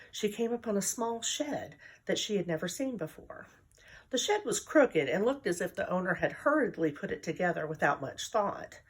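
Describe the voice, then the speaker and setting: A woman reading a children's story she wrote, in a calm, measured way. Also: on the low side, husky. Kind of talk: reading her own story aloud.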